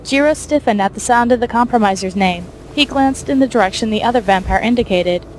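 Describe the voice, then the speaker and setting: A woman's voice: on the high side, a little fast, a little hiss or hum, poor audio. A woman reading scripted fiction as the narrator of an audio story.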